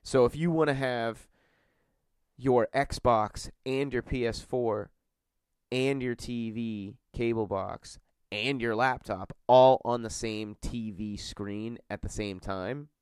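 A man's voice: medium pitch, slow, darkish tone, clear, resonant tone, no room sound. A man hosting a podcast, congested from a cold, chatting casually in a rambling, filler-heavy way. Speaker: podcast host.

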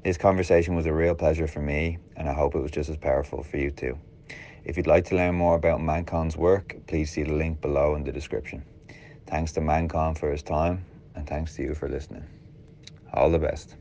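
A man's voice: very deep voice, little pitch movement, a little fast, warm tone, a little hiss or hum. A man hosting a podcast, reading an introduction and then asking casual questions. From Ireland. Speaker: podcast host.

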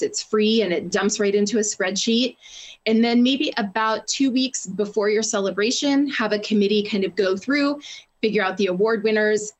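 A woman with a mid-range voice speaks as an interview guest from public relations. She explains practical ideas in an organized, articulate way, moving through examples one after another. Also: medium pitch.

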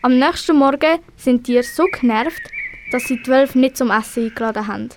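A woman's voice: sits high, a little fast, background noise. A woman with a Swiss German accent narrating a scripted children's story for an amateur radio play.

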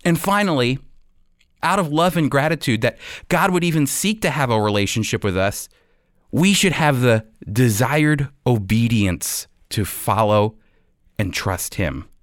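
A man's voice: mid-range voice, lively intonation, measured pace, no echo. Man teaching the Bible, dry studio sound. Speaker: radio Bible teacher and host.

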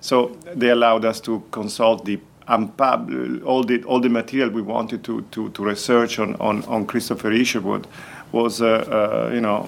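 A man's voice: mid-range voice, gravelly. A man explaining conversationally as an interview guest, repeating words as he searches for his phrasing. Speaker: documentary filmmaker, interview guest.

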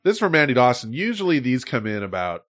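A man's voice: mid-range voice, sing-song, quick pace, a bit bright. A man hosting a podcast in casual chat, rambling, swearing freely and laughing often. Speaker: podcast host.

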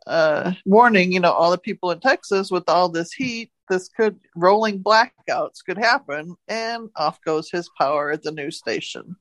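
A woman's voice: lowish voice, normal speed, few pauses, no echo. A woman co-hosting a podcast, chatting casually and retelling odd news stories in a folksy, joking way. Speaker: podcast co-host.

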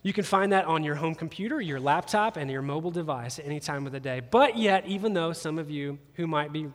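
A pastor with a somewhat high voice preaches an animated, joking sermon and speaks straight to his listeners in a conversational way. His voice carries a long reverb, as if it fills a large church auditorium. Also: a little fast. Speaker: campus pastor.

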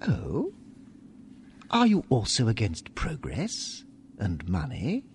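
The voice is animated and medium in pitch, a young businessman. He is formal, ambitious and insinuating, pressing his case in a radio drama. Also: slow, a little hiss or hum, full character voice. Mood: calculating, persuasive. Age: young adult. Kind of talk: family radio drama acting.

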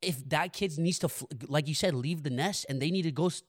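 A young man hosts a casual podcast, earnest and animated, full of slang and quick laughs. His voice sits a little high for a man. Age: young adult. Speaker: podcast host.

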